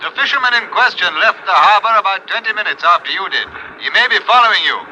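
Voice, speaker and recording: An actor playing an urgent police inspector calling over a two-way radio in an old-time radio drama. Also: male voice, high-pitched, expressive, fast talker, bright, forceful tone, slight background noise, full character voice, poor audio. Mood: urgent warning.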